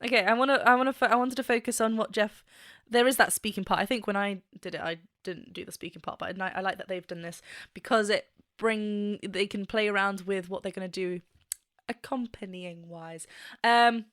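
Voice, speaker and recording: A woman's voice: medium pitch, fairly even intonation, a little fast, a bit bright, no room sound, studio quality. A woman vocal coach reacting to and analysing a song, enthusiastic and laughing often, singing bits to demonstrate.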